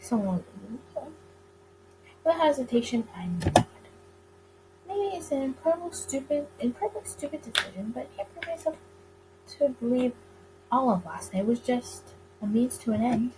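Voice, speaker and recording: Female game reader, slightly high voice, narrating a story with small stumbles, faint background noise. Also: very animated, moderate pace, long pauses. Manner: stumbles over words and rereads them. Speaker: let's-play reader of a visual novel. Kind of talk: reading a visual novel aloud, narration and character lines.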